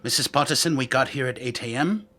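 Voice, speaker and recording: An actor playing a pedantic, indignant lawyer in an audio drama, speaking in formal, clipped phrases. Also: male voice, medium pitch, fast talker, sharp tone. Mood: exasperated. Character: pedantic, irate male prosecuting lawyer. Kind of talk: scripted audio drama acting.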